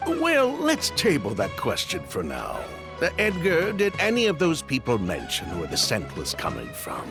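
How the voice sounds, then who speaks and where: Theatrical, gravelly sly merchant. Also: male voice, on the high side, wildly swooping pitch, moderate pace, over music, heavily acted. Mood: musing. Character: smooth-talking, sly perfume merchant, the elder brother. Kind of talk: video game character acting.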